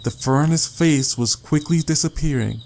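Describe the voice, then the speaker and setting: A man's voice: on the high side, moderate pace, a little mellow. A man reading a story as an audiobook narrator, in a dramatic scripted style.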